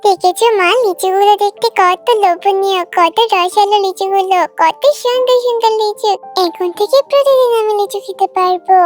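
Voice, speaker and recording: A woman's voice: very high voice, fairly even intonation, normal speed, hardly any pauses. A woman voicing a kind, innocent little village girl in a children's cartoon, earnest and eager. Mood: delighted. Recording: music behind the voice. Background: children's music.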